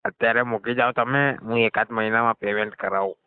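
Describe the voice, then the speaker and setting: A man's voice: medium pitch, steady tone, quick pace, smooth, no room sound, lightly acted. A man playing a cheeky, evasive garage customer, nonchalantly needling and stalling in a scripted comedy phone call. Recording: dull lo-fi phone call recording.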